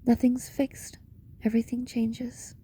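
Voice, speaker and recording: A woman leading a guided meditation in a reflective monologue, speaking in short, paused phrases with a breathy voice that often slips into a whisper. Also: fairly high pitch, completely flat delivery, mellow, slight background noise. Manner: slow, pausing between short phrases.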